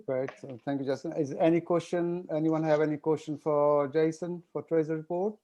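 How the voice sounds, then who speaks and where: A man's voice: fairly high pitch, fairly even intonation, brisk, mellow, highs cut off. A man chairing an online meeting, brief and formal as he moves through the agenda. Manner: brief, formal procedural phrasing.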